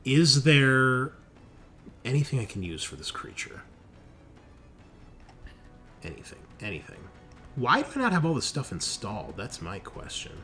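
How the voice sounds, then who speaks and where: A man with an average-pitched voice acts as game master over clearly audible music. He talks casually, mutters through rules and rolls aloud, and swears freely. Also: very animated, a little slow, long pauses, sharp tone. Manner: informal, swearing, thinking aloud. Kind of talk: casual tabletop game refereeing.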